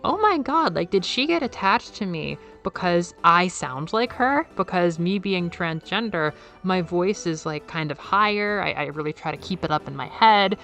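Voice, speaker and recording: A young woman YouTuber talking casually in a soft, airy, slightly nasal voice, excitable and rambling, her pitch leaping up when she quotes people. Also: normal speed, hardly any pauses, faint music behind. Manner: enthusiastic, excitable, jumps up in pitch when quoting; rambling with fillers and repeated words. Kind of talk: casual video essay.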